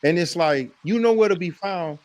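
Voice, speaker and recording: A man's voice: high-pitched, moderate pace, a little mellow, no room sound. An American man hosting a sports debate, making his case emphatically and repeating phrases for effect. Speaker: host of a sports debate show.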